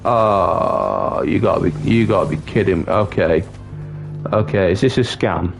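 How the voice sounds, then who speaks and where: A young man from North Wales with a very deep, low voice chats with his livestream viewers in an easy, halting way, often restarting his sentences. Game music plays clearly underneath him. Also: big pitch swings. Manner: chatty, halting, with many restarts. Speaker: streamer. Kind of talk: casual livestream chat.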